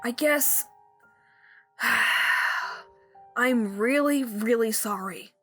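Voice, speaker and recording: A woman's voice: a little high, a bit bright, pitched above the performer's own voice. A woman voicing a groggy, brash, sarcastic injured mare adventurer in a cartoon fan story, muttering to herself. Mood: apologetic. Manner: slow, sardonic, inner-monologue muttering. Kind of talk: cartoon fan fiction character reading. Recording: echoey, long reverb, music underneath.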